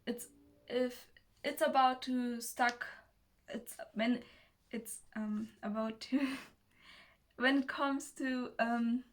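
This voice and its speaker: Young german girl around 19, woman with highish voice, slow halting nervous talk to camera, german accent. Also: fairly even intonation. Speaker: self-development video creator. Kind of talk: casual personal talk to camera.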